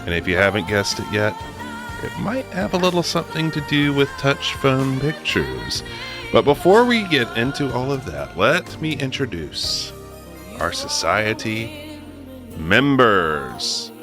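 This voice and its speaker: A man's voice: medium pitch, very animated, unhurried, over music. A man hosting a comedy podcast, making playful, rambling introductions in a casual chatty style. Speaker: podcast host.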